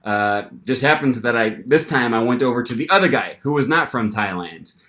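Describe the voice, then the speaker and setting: A young man vlogging casually, telling an animated story with lots of fillers and restarts. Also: mid-range voice, moderate pace. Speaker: language-learning vlogger.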